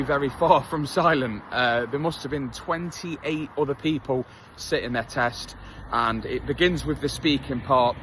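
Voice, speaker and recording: A man's voice: medium pitch, noisy background. A man in his thirties from England with an English accent, chatting candidly outdoors while out for a run, self-deprecating and full of fillers. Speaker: vlogger. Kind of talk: casual talk to camera while jogging. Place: outdoors on a street.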